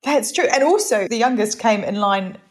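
A woman's voice: fairly high pitch, lively intonation, normal speed. A middle-aged woman from New Zealand co-hosting a podcast in casual chat, with a New Zealand accent worn down by years in England; she laughs often and pokes fun at herself. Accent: New Zealand, softened by English. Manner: laughs often, chatty and self-mocking. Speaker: podcast co-host.

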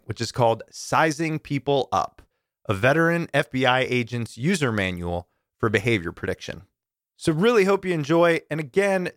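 A male podcast host talking in a chatty, enthusiastic way. His voice sounds a bit deeper and rougher than usual from campfire smoke. The sound is dry and close. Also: a bit bright, dry recording. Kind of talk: casual podcast intro.

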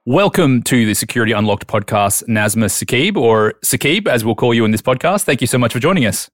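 A man's voice: medium pitch, expressive, a little fast, no room sound. A man hosting a podcast, playful and joking as he banters and introduces the guest. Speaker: podcast host. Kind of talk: casual podcast chat.

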